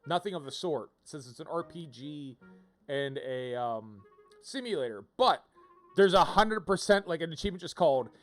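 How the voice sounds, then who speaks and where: A man with a slightly high voice chats casually as he streams a game. He rambles, drops wry, playful asides and reads game text aloud, while soft game music plays behind him. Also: expressive, moderate pace. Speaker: game livestreamer.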